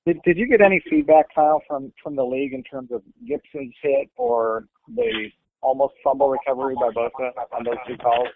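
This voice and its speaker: A man's voice: mid-range voice, sing-song, fairly quick, creaky. A man working as a sports reporter, asking questions on a press conference call. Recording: dull, faintly noisy phone line.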